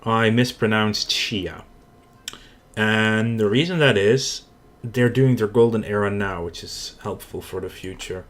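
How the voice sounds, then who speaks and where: A man with a Dutch accent, speaking in a somewhat low voice, commentates his own strategy game in a relaxed, unscripted way. He thinks aloud and restarts his sentences as he goes. Keyboard typing clicks away behind him. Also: a little slow. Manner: thinking aloud, self-correcting. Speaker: gaming channel host. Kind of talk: casual let's play commentary.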